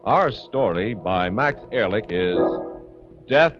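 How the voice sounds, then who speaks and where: A man's voice: on the low side, expressive, slow. A man announcing a program in a dramatic, theatrical 1940s radio style. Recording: muffled vintage radio broadcast, loud music.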